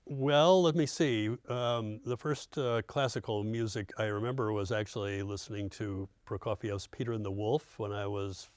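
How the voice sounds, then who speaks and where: Older American man, low voice, dry wit. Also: steady tone, normal speed, hardly any pauses, no echo. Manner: dry, self-deprecating humour. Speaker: veteran public radio host. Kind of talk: casual reminiscing conversation. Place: radio studio.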